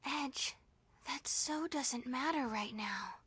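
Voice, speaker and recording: A woman voicing a sharp-tongued, sardonic young heroine in a video game, given to exclamations. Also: high-pitched, animated, slow, breathy edge, dry recording, heavily acted. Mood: jealous, exasperated. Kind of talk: English video game dub acting.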